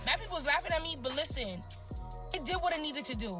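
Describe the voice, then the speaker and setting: High-voiced, animated woman over music. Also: fast talker. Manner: animated storytelling, slangy and expressive. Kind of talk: casual chat.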